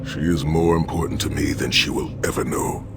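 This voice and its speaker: A deep-voiced, stoic male warrior of a fantasy game. He is noble and solemn, measuring out his words over clearly audible music. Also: level tone, a little fast. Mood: solemn, tender.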